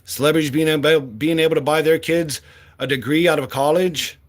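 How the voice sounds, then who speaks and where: A man's voice: a little high, fairly even intonation, brisk. A man in his late forties giving a blunt, impassioned monologue as a candidate, swearing freely and speaking with fire. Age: 40s. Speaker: political candidate.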